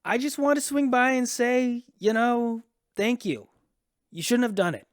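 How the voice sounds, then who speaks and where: An actor playing a worried father and farmer in a sci-fi radio drama, talking in a halting, conversational way. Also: extremely high pitch, no room sound, clean studio sound. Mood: concerned, gentle.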